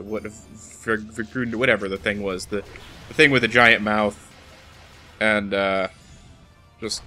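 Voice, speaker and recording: A man's voice: on the low side, sharp tone. A man giving casual, wry and self-deprecating gameplay commentary as he talks to the game he is playing. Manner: wry, self-deprecating, talking to the game's boss. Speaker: let's play host. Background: video game music.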